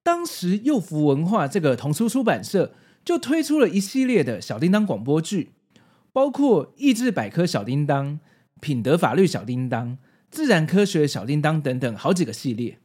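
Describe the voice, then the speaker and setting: A man's voice: on the high side, sing-song, moderate pace, darkish tone, husky. A man with a Taiwanese Mandarin accent hosting a scripted, nostalgic retrospective in an explanatory, conversational way. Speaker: host of a retro pop-culture video series.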